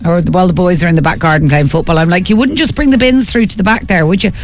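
A middle-aged Irish woman co-hosts a morning radio show with easy, playful banter. She teases her partner and mimics the people she talks about. Soft music sits faintly under her in the studio. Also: fairly low pitch, very fast. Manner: playful, teasing, mimics others. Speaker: radio co-host. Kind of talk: casual breakfast radio chat.

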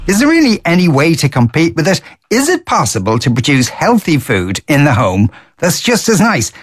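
A man hosting a radio talk show, with a lively, wry presenting manner. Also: a little high, dry recording, studio quality. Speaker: radio host.

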